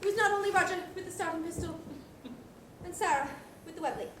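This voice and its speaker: Lovelorn farce suspect, woman player: extremely high, theatrical, drawn-out grief; echoey, distant stage recording. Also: background noise. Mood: dramatic confession. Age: young adult. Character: wistful, lovelorn lady suspect, overwrought mourner. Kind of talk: comedic student stage acting.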